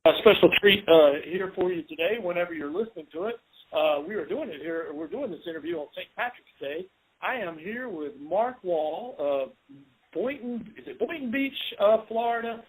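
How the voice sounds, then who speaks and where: A man in his late forties hosts a relaxed podcast interview, his voice slightly husky and a little higher than usual. He stumbles and restarts often, filling gaps as he goes. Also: moderate pace, poor audio. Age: 40s. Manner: hesitant, many fillers and restarts. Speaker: podcast host. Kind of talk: casual interview.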